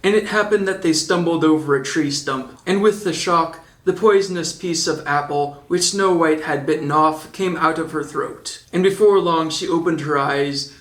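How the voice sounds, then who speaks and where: A man's voice: on the high side, normal speed, few pauses, fairly bright tone, roomy sound. A man reading a storybook as an audiobook narrator, with the odd joking aside.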